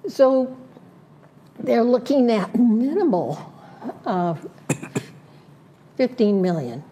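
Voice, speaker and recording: A woman serving as a community board director, laying out her plans in an interview, listing points slowly with many fillers and restarts. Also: fairly high pitch, big pitch swings, dark tone, slight background noise. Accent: Southern Californian. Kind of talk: prepared statement in an interview.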